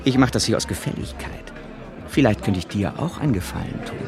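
A man's voice: lowish voice, animated, fairly quick, creaky, music in the background. An actor playing a shady, controlling man who presses people insistently, in a naturalistic radio drama. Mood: controlled pressure. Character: shady, pressuring man offering a dubious favour.

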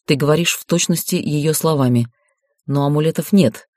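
A man voicing a calm, commanding yet tender raven lord in an audiobook, speaking in a hushed, soothing, measured way. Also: on the high side, dry recording, clean studio sound, close to an everyday voice. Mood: puzzled.

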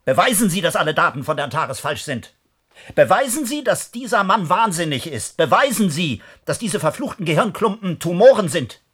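A man's voice: high-pitched, big pitch swings, moderate pace, a bit bright, breathy edge, full character voice. An actor in a German radio play, playing a stern, exasperated male project leader under pressure with a commanding manner. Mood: angry, exasperated. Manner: commanding, indignant. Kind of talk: German radio drama acting.

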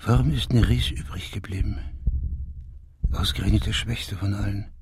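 An actor playing an insistent senior policeman who also narrates a radio thriller, measured and intense. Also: on the low side, steady tone, noisy background, natural voice. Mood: hushed, tense. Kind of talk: radio drama dialogue and first-person narration.

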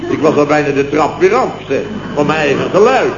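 An actor playing a blustering, indignant building-firm boss telling tall tales in exclamatory, conversational style in a vintage radio comedy. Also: male voice, fairly high pitch, animated, brisk, lightly acted. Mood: indignant, animated. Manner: exclamatory, conversational storytelling with mimicked exchanges. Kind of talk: 1970s radio comedy acting. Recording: old muffled, noisy, echoey radio recording.

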